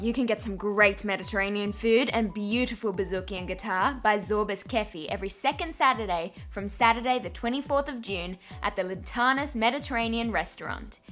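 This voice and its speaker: Bright, chirpy woman presenter. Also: a little high. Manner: chirpy, promotional. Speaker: events segment presenter. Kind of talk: upbeat scripted announcements.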